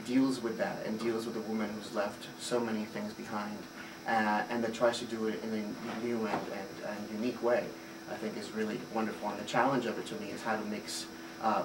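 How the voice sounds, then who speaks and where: A man from Puerto Rico, a theater director, giving thoughtful interview answers, fluent but with frequent filler sounds. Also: lowish voice. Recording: roomy, distant mic, noisy ambience.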